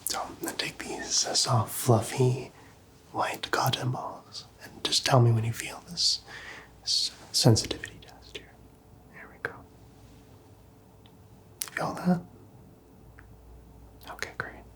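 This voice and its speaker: A man speaks in a breathy whisper, acting as a doctor for an ASMR roleplay. He is gentle and reassuring, with unhurried, soothing patter as he talks the listener through things. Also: mid-range voice. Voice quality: breathy, mostly unvoiced whisper. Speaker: ASMR performer playing a doctor.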